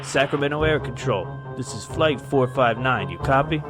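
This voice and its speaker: A man's voice: medium pitch, animated, moderate pace, lightly acted. Man playing a grave, terse airline captain. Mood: grave, urgent. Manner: terse, formal radio procedure. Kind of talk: audio drama acting. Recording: music behind the voice.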